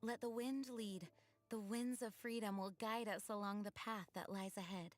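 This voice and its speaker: Woman playing earnest lady knight commander in an anime game, formal and steady, mid voice, quiet music behind. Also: mid-range voice, no echo, lightly acted. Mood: earnest, hopeful. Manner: formal, sincere, measured. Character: noble, earnest young female knight leader. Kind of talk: anime video game voice acting.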